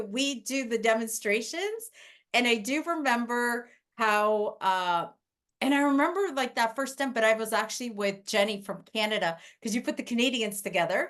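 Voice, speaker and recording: A woman hosting a podcast, her voice sitting fairly high. She talks in a casual, gushing way, full of enthusiasm. She sounds like she is on a video call. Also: fairly bright tone. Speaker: podcast host. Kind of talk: casual interview chat.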